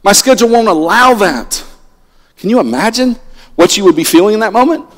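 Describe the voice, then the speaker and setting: A middle-aged man preaching a sermon from a pulpit, swinging between loud animated lines and hushed ones. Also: high voice, fairly quick. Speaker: pastor. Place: church sanctuary at a pulpit. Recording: long reverb of a church hall.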